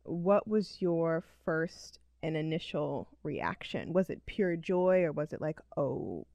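A woman's voice: low voice, animated, slow pace, creaky, mellow, dry recording. A woman hosting a podcast, friendly and chatty, laughing easily as she asks questions in a casual interview. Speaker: podcast host.

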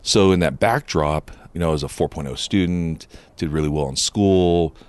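A man in his fifties with an extremely low voice, telling his life story as a podcast guest. He talks reflectively and earnestly, with plenty of fillers. His first language was Japanese, and he grew up in Southern California. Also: moderate pace, a little mellow. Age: 50s. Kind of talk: relaxed storytelling interview.

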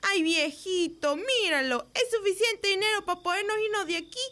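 A woman's voice: very high-pitched, moderate pace, a bit bright. A woman with a Mexican accent playing a pleading rural wife in an amateur radio drama. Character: pleading rural wife urging her old man to leave.

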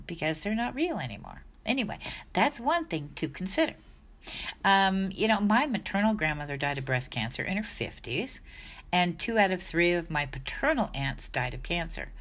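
A woman's voice: low-pitched, fairly bright tone. A woman in her sixties talking casually to camera about dog health, chatty and rambling, often restarting her sentences. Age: 60s. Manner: chatty, rambling, self-correcting.

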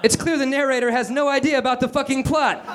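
A man's voice: extremely high pitch, fairly even intonation, fast talker, very noisy, pitched above the performer's own voice. A man voicing an exasperated, foul-mouthed teenage boy in a comic story, snapping in an irritated, strained way.

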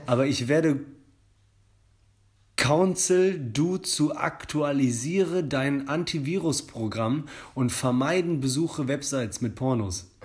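A man's voice: mid-range voice, darkish tone. A young man hosting a casual podcast, reading a scam email aloud in a mock-formal, joking way. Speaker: podcast host. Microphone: studio microphone.

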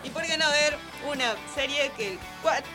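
A woman's voice: high-pitched, normal speed, bright, forceful tone. A young adult woman with an Argentine accent, nervous and easily flustered, presenting an informal radio column on film and series and correcting herself as she goes. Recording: music playing behind the voice. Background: music.

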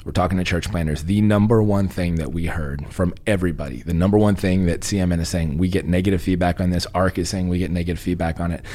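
A very deep, gravelly male voice, a little husky and stuffy from a lingering cold. He talks casually and tells stories with a dry, joking streak. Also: steady tone, fast talker, few pauses, mellow. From Texas. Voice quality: raspy, deep. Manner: joking, storytelling. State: congested from a cold. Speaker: podcast guest, church leader. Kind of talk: casual podcast conversation.

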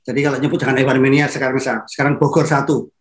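A man's voice: mid-range voice, flat delivery, very fast. Stuffed-up man joking in an echoey room. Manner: playful, punning, chuckles often. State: nasal, stuffed-up voice. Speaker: podcast co-host. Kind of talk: joking casual chat about motor racing. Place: hotel room.

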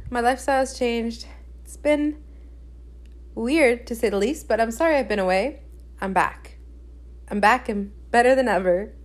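Chatty, candid young woman podcaster. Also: a little high. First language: Spanish. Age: young adult. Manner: chatty, candid, emphatic with repetition. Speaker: podcast host. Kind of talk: casual solo podcast chat.

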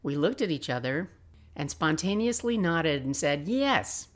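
Low-voiced woman in her sixties telling stories. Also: animated, a little slow. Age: 60s. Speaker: podcast host and storyteller. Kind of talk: personal memoir storytelling, scripted narration.

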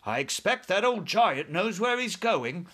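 A man's voice: high voice, sing-song, unhurried, fairly bright tone, voice pushed up, heavily acted. A man voicing a cheerful, fearless young military pilot in a storybook, breezy and unflappable.

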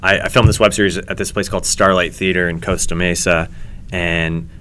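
Deep-voiced man rambling through stories. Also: steady tone, fairly bright tone, clean tone. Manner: rambling, halting with many ums and restarts. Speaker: comedy show host. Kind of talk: casual podcast chat.

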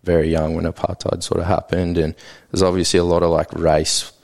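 Very deep-voiced, flat-toned man, rambling casually. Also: brisk, vocal fry. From South Africa. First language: Afrikaans. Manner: relaxed, rambling, heavy on fillers like "like" and "sorta". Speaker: podcast guest. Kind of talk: casual conversational interview.

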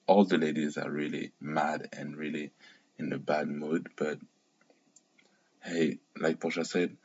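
Man from Cameroon, very low husky voice, rambling opinionated recap, dull lo-fi sound. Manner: rambling, self-correcting, opinionated. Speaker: reality TV recap vlogger. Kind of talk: casual spoken recap.

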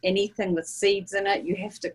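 A middle-aged woman speaks with a New Zealand accent at a medium pitch. She talks in a casual, conversational storytelling style, as a guest in an interview. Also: steady tone, a little fast, breathy edge. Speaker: interview guest, small business owner.

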